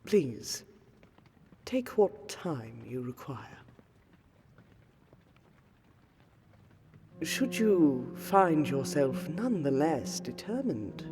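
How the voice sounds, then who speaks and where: A woman playing an aristocratic vampire scholar in a fantasy audio roleplay, speaking formally and deliberately with weighty pauses. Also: sits low, big pitch swings, heavily acted. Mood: gentle, earnest. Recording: long hall-like reverb under prominent music.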